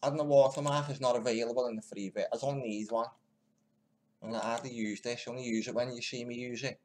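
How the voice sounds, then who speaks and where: A man mutters and grumbles to himself in a casual, crude way, half under his breath, as he works at his computer. His pitch sits in the middle range for a man, and the talk is offhand and unscripted. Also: fairly even intonation, quick pace, a little mellow. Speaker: video host. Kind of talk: muttering commentary over news clips.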